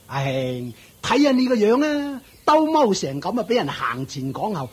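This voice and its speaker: A man's voice: high voice, sing-song, husky, full character voice. A man with a Hong Kong Cantonese accent playing a scornful, nagging uncle who runs a shop, mocking and belittling in a radio drama. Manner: mocking, belittling, drawn-out taunts.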